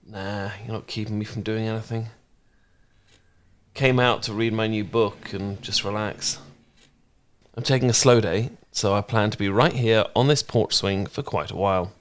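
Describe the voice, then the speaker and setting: Man acting gentle, low-voiced caring housemate. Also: steady tone, lightly acted. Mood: relaxed, easygoing. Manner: soft, reassuring, unhurried conversational delivery. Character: gentle, caring male housemate, shyly fond and easygoing. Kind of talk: intimate ASMR romance roleplay.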